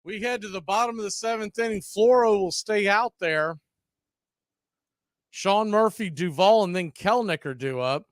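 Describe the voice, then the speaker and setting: A man calling baseball play-by-play for a fan radio stream, mixing pitch calls with blunt opinionated asides. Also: normal speed, dry recording, clean studio sound.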